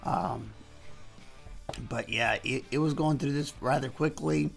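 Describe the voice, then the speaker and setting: A man hoarse from losing his voice, casually talking through a knife review while he demonstrates cuts. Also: medium pitch, a little slow, faint music behind. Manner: relaxed, folksy, talks while demonstrating cuts. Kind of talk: casual hands-on product review.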